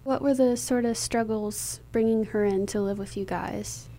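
A woman's voice: a little high, steady tone, moderate pace, mellow. A woman interviewer putting questions in a conversational radio interview. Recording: faint hum behind voice.

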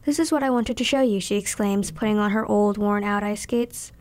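A woman's voice: medium pitch, brisk, darkish tone, no room sound. A young woman in her teens reading her own written story aloud as a scripted narration. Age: teenager.

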